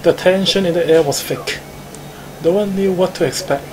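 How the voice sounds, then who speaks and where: Guy reading out visual novel narration, slightly high voice, music clearly behind him. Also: moderate pace, low-quality recording. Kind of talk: live visual novel narration read aloud.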